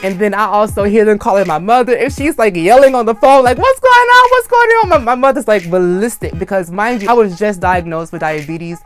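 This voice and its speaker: Young male vlogger, high voice, energetic storyteller who acts out every quote; light music bed. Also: a little fast, few pauses. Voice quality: high-pitched voice. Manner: animated, acts out screams and quotes. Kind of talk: casual storytime.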